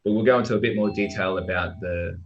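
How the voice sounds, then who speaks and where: Low-voiced Australian man on a dull call. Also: steady tone, fast. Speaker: guest software developer. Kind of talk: informal presentation on a video call. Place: remote online live stream. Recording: dull lo-fi video call audio.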